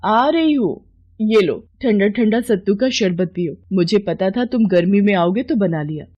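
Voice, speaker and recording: A woman as a devoted, worried village wife, husky. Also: mid-range voice, animated, moderate pace, a little mellow, dull audio. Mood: caring. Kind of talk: Hindi moral story voice acting.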